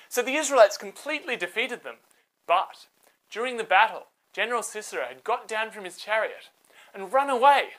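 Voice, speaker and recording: A woman narrating a scripted children's Bible story in a dramatic, expressive way. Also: mid-range voice.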